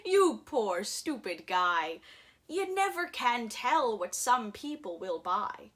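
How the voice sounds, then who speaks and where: A woman's voice: on the high side, big pitch swings, slow pace, a bit bright, full character voice. A woman voicing a brash, greedy, boastful businessman in a theatrical storybook reading. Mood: dismissive.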